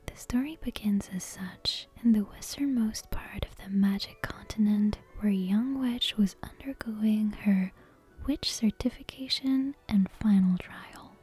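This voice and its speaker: Hushed, breathy woman whispering slowly. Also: medium pitch. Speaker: ASMR channel host. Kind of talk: soft-spoken, whispery ASMR, intro and bedtime story reading. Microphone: close ASMR microphone with headphone monitoring.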